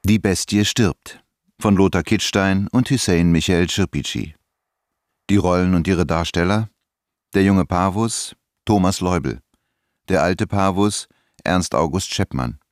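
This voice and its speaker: A man's voice: low voice, measured pace, no echo, studio quality. A man reading scripted credits as a radio announcer.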